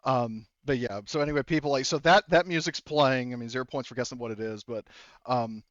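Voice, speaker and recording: A man's voice: mid-range voice, no echo. Rambling male game master, slightly muffled. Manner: rambling, halting, with many verbal tics. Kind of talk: casual game narration.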